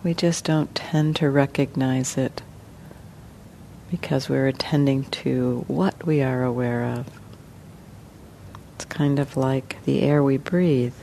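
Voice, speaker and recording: A woman meditation teacher leading a guided meditation, speaking slowly and gently with long pauses. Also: rumbling low, fairly even intonation, creaky, slight background noise.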